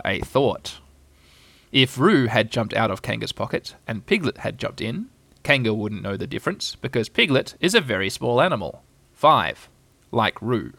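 A man voicing a bossy, brisk, self-important scheming rabbit in a children's storybook. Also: mid-range voice, gravelly, bright, forceful tone, no echo, full character voice.

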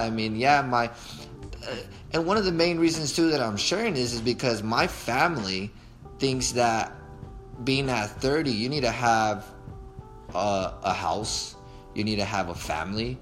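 A man's voice: fairly low pitch, fairly bright tone. A man in his thirties giving an emphatic motivational talk straight to his viewers, repeating his points and using casual slang. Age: 30s. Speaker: vlogger.

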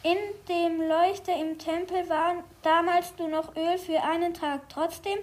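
An eager young boy, acted by a woman in a children's audio play. The voice is extremely high, and the delivery is careful and explanatory, like reading out a script. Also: little pitch movement, measured pace.

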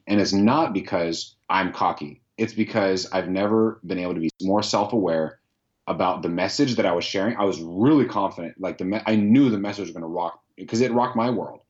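Deep-voiced man, earnest and reflective. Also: muffled recording. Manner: reflective, earnest, thinks aloud. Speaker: podcast host, guest here. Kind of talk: casual interview answers.

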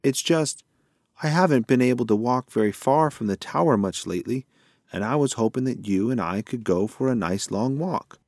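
A man voicing a kindly, frail elderly male mouse in a children's storybook, gentle and apologetic as he rambles. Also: medium pitch, lively intonation, moderate pace, dry recording, clean studio sound, voice pushed up. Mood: warm, wistful.

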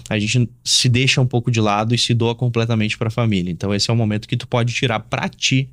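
A man's voice: medium pitch, fairly even intonation, quick pace, fairly bright tone, no room sound, clean studio sound. A man with a southern Brazilian accent giving casual, reassuring relationship advice as a host.

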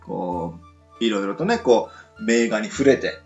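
A man with a western Japanese accent hosting a casual radio chat, joking and often chiming in with quick responses. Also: lowish voice, lively intonation, faint music behind. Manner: joking, frequent backchannel responses. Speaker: radio show host. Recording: roomy, slightly muffled recording.

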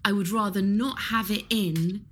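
A woman co-hosting a casual podcast, talking briskly and laughing easily. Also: mid-range voice, fairly even intonation, fairly bright tone, slight background noise. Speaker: podcast co-host. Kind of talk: casual chat.

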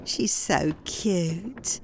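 An actress playing a soft-hearted, vague young widow who is fond of bunnies, in an audio comedy drama. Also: female voice, fairly low pitch, expressive, very slow, gravelly, a little hiss or hum, full character voice. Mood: cooing, tearful.

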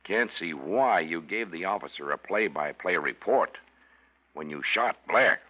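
A calm police detective with a very deep voice and a lively, rolling delivery, laying out facts in a dry, matter-of-fact way. The recording is dull and old, like vintage radio. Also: male voice, unhurried. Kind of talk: 1940s radio acting.

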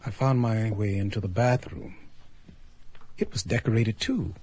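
An actor playing a flustered, incredulous artist abducted by a space traveler in a radio drama, with a husky voice. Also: male voice, lowish voice, unhurried, a little mellow, a little hiss or hum, lightly acted. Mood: uneasy recollection. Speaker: lead actor and first-person narrator.

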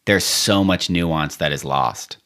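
Casting: low-pitched American guy, loose rambling podcast talker, curses freely. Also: takes it slowly. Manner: rambling, swearing, animated retellings. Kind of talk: casual chat.

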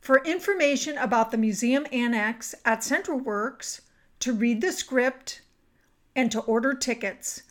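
A woman's voice: a little high, unhurried. A woman hosting a podcast, wrapping up an interview with a sign-off. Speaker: podcast host.

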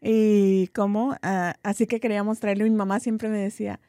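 A woman's voice: medium pitch, little pitch movement, normal speed, mellow, dry recording, clean studio sound. A woman from Tijuana with a Tijuana Mexican Spanish accent, co-hosting a podcast in blunt, slangy, laugh-filled casual chat. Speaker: podcast co-host.

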